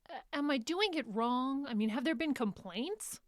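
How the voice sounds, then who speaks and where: A woman's voice: high-pitched, lively intonation, moderate pace, no room sound, studio quality. A woman acting as a defensive, frustrated young carer in her twenties in a radio drama. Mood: indignant. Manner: defensive, indignant.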